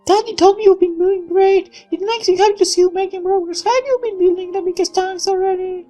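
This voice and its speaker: A man voicing a polite, caring young woman in a visual novel, gentle and girlish. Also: extremely high pitch, steady tone, fairly quick, soft background music, pitched above the performer's own voice. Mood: caring, composed.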